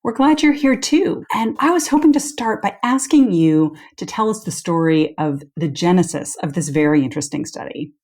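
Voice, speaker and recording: A woman's voice: fairly low pitch, animated, normal speed, hardly any pauses, a little mellow. A woman co-hosting a podcast as a deputy editor, introducing and interviewing a guest. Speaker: co-host, deputy editor and professor.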